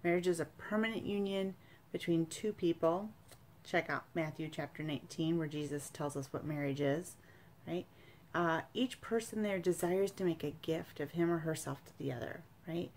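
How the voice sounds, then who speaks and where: A woman's voice: low-pitched, moderate pace, a little mellow, a little hiss or hum. A woman in her forties giving informal religious teaching to camera, explaining emphatically and often checking for agreement. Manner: explanatory, emphatic, seeking agreement with tag questions. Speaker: church retreat speaker, sacramental prep coordinator. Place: small home study, indoors.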